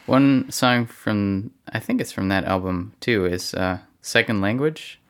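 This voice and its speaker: A man hosting an interview, asking relaxed, slightly hesitant questions and murmuring along. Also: fairly low pitch, a little slow. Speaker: radio interviewer host.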